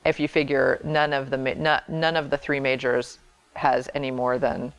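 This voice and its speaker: A woman's voice: rumbling low, little pitch movement, normal speed, clean tone. A woman being interviewed as a label president, explaining things informally in a plainspoken, emphatic and wry way.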